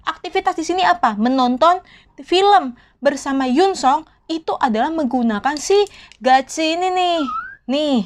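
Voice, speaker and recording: High-voiced chatty woman teaching Korean. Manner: chatty, asks listeners questions, mixes in English words. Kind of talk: casual online lesson.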